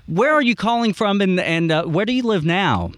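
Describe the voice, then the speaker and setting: An easygoing man hosts a radio show from a studio, his voice a touch high for a man and dry. He talks loosely, with fillers and little restarts. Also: animated, normal speed, no room sound. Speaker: radio host. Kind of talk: radio interview.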